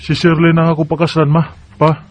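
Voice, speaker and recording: An actor playing a devoted, playful young husband in a sentimental radio drama, tender and earnest. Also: male voice, fairly high pitch, completely flat delivery, fairly quick, heavy background noise, lightly acted. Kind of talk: sentimental radio drama acting with first-person narration. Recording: dull lo-fi radio sound with music.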